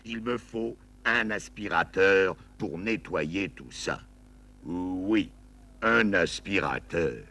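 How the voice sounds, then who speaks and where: An actor playing a stern, fussy but patient cartoon master, explaining things deliberately. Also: male voice, fairly low pitch, gravelly, a bit bright, full character voice. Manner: deliberate, instructive. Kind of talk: cartoon acting.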